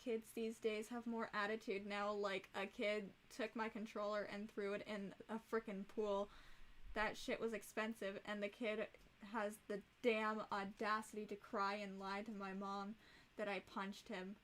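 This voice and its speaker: Slow, bright-voiced hoarse young woman. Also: mid-range voice, little pitch movement, poor audio. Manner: blunt, exasperated, swears freely. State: hoarse, rough voice. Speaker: livestreamer. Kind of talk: casual livestream chat.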